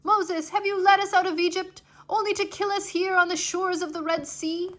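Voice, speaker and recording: A woman's voice: extremely high pitch, fairly even intonation, moderate pace, a bit bright, voice pushed up. A woman voicing panicked fleeing slaves in a storybook, crying out with urgent desperation. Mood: despairing accusation.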